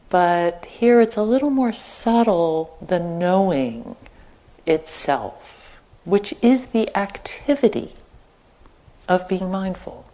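A woman teaching guided meditation, speaking gently and leaving long pauses between short phrases. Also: fairly low pitch, rough recording. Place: meditation retreat center.

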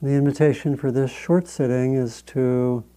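A man guiding a meditation as a teacher, speaking in a measured, gentle way with long pauses between phrases. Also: medium pitch, fairly even intonation. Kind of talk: guided meditation instruction.

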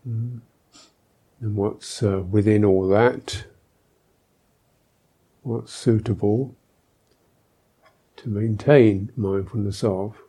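Slow, dark-voiced man guiding meditation. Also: lowish voice, steady tone, slightly rough. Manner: gentle, unhurried, thoughtful with long pauses. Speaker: Buddhist monk, meditation teacher. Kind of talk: guided meditation instruction.

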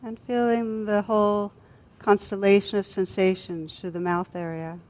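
A woman with a mid-pitched voice leads a guided meditation as a teacher. She speaks gently and soothingly. Her phrases are unhurried, with long, restful pauses between them. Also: level tone. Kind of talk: guided body scan meditation.

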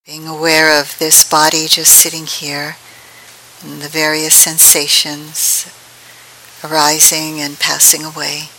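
A woman leading a guided meditation as a teacher, speaking gently in unhurried phrases with long pauses. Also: sits low, low-quality recording. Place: meditation hall at a group retreat. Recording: steady hiss behind the voice.

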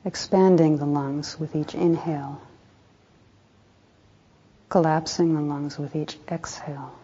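A woman with a low, mellow voice guides a meditation. She speaks softly and calmly in short instructive phrases with room between them, and the recording is slightly dull and muffled. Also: unhurried, husky. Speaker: meditation teacher.

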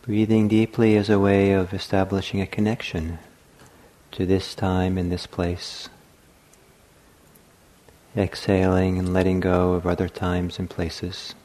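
A man with a deep, mellow voice guides a meditation. He speaks very gently, in short phrases separated by long pauses. His delivery is markedly slow and unhurried, like a teacher leading a practice. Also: monotone.

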